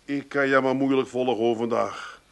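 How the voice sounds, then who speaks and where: An actor playing the dealer's down-to-earth, exasperated son with an Amsterdam accent in a radio play. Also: male voice, mid-range voice, steady tone, normal speed, lightly acted. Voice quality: blunt, dry.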